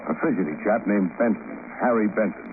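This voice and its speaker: A man's voice: lowish voice, a little fast, close to an everyday voice. An actor playing a wisecracking, breezy private investigator in a 1950s radio drama, telling his case in dry, ironic, colloquial patter. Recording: tinny, noisy old radio recording.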